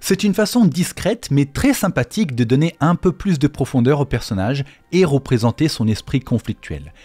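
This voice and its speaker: A man's voice: medium pitch, lively intonation, moderate pace, a little mellow. A man narrating a scripted explainer as the host of a video on game lore. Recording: faint music behind the voice. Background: faint background music.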